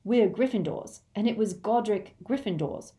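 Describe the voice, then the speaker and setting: A woman's voice: mid-range voice, animated, normal speed, mellow, pitched above the performer's own voice, close to an everyday voice. A woman voicing a blunt, argumentative teenage boy with a pushy, colloquial manner in a storybook reading. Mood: defensive.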